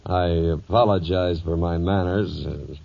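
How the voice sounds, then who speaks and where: Vengeful older cattle baron, American, blunt and commanding, low register, muffled old radio drama sound. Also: male voice, fairly even intonation, a little slow, creaky, warm tone, exaggerated voice. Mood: blunt, guarded. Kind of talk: 1940s radio western acting. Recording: muffled 1940s radio broadcast recording.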